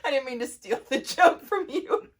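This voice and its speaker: A woman's voice: high-pitched, lively intonation, moderate pace. A woman co-hosting a book vlog, bantering casually and reacting playfully to her co-host.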